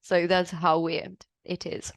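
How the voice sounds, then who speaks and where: A woman chats about teaching, animated and quick to laugh. Her voice sits close and dry, with no room sound. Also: fairly low pitch, dry recording. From Serbia. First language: Serbian. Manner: chatty, laughs often, animated. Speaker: English teacher. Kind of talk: casual chat.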